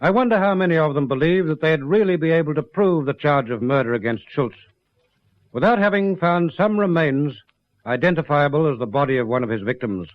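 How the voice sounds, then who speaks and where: An actor as the narrator of a radio crime drama, speaking in a grave, rhetorical scripted style. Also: male voice, a little high, dry recording, lightly acted. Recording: dry, dull lo-fi radio recording.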